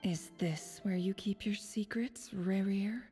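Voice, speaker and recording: Young woman adventurer, creaky, hushed, breathy, composed and curious, game dialogue, faint music. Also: mid-range voice, steady tone, slow. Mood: hushed, wary. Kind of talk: video game acting, thinking aloud.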